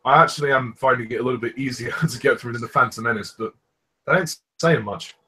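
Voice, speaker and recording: A man riffs through a movie in casual fan commentary, blunt and sarcastic, swearing freely. His voice sits in an ordinary middle pitch. The delivery is loose and conversational. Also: fast, sharp tone, slightly rough.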